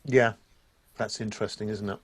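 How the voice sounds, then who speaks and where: A man's voice: lowish voice, fairly even intonation, moderate pace, slight background noise. A man interviewing a colleague, encouraging him and affirming his points with frequent yeahs. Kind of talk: conversational interview.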